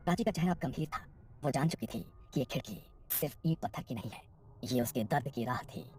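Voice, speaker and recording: Very low-voiced woman narrating horror. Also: animated, a little fast, a little mellow, breathy edge. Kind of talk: scripted horror story narration.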